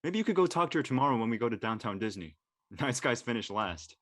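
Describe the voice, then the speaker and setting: A man playing an earnest, nervous high school senior with a casual, slangy, hesitant delivery in an audio play. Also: big pitch swings, quick pace, fairly bright tone, no echo, clean studio sound. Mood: teasing, urging. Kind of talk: naturalistic dialogue in a live-streamed audio play.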